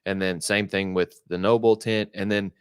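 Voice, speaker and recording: Brief: deep, smooth male co-host, wry quips, dry close mic. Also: steady tone, normal speed, no echo. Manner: wry, chuckling asides. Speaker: podcast co-host. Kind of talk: casual chat.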